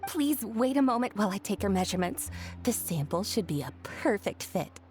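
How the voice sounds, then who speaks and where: A woman's voice: medium pitch, sing-song, brisk, darkish tone, natural voice. A woman voicing a friendly female shopkeeper and clothes designer in a game. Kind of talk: game voice acting. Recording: game dialogue over music.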